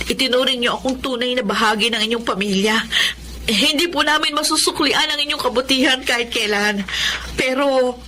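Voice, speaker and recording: A woman's voice: high voice, a little fast, pushed and bright, slight background noise. An actress with a Filipino accent playing a grateful, humble young woman from a poor family in a radio drama. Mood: grateful, earnest. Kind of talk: Filipino radio drama acting and first-person narration.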